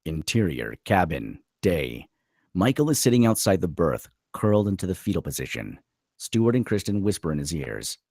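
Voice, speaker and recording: A man's voice: deep voice, very animated, normal speed, darkish tone, no echo, clean studio sound. A man reading stage directions as dramatic scripted narration at a screenplay table read.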